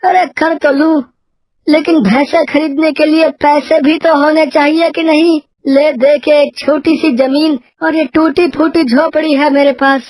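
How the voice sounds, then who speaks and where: A woman's voice: high voice, fairly even intonation, measured pace, darkish tone, dry recording. A woman voicing a poor, hesitant, worried male farmer in a cartoon village story. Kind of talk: cartoon moral story dialogue.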